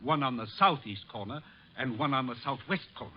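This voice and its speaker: An actor playing a wealthy, impatient, frightened man with a stiff, haughty, formal manner and a husky voice in an old-time radio drama. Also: mid-range voice, brisk, a little hiss or hum, close to an everyday voice. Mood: urgent, formal. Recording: dull, lo-fi vintage radio recording.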